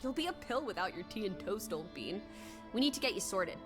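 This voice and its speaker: A woman's voice: mid-range voice, very animated, brisk, close to an everyday voice. An actress playing a playful young 1920s American woman in a video game, teasing in jaunty old-fashioned slang. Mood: cheeky. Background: music.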